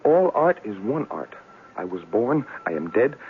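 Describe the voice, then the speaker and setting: An actor playing a fragile, paranoid young artist who swings between babyish pleading and terse muttering, in 1970s radio drama style. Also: male voice, on the low side, very animated, unhurried, soft background music. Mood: dazed, brooding. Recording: tinny, lightly noisy old radio recording.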